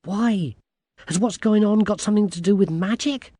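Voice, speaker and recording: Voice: mid-range voice, moderate pace, dark tone, no echo, pitched above the performer's own voice, lightly acted. A narrator voicing a bold, determined young girl heroine in a storybook, direct and demanding. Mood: urgent questioning.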